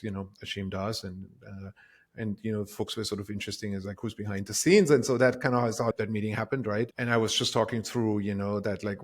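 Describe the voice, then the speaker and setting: A middle-aged man with a German accent speaks in a low voice. He talks casually and reflectively as a guest, circling back over his words in a rambling, self-deprecating way. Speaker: interview guest, tech company co-founder. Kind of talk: casual reflective interview talk.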